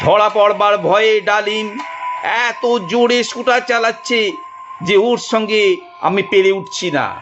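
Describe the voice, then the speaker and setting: A man with a notably high voice tells a suspense story at a slow, deliberate pace. The sound is slightly dull, with music running behind him. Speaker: narrator. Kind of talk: dramatic first-person audio story narration. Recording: muffled studio narration over music.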